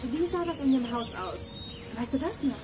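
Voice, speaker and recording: High-voiced, sceptical young sleuth. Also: female voice, animated, normal speed, background noise. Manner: insistent, questioning, pointed. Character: brisk, sceptical young member of detective gang. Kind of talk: German children's audio drama acting.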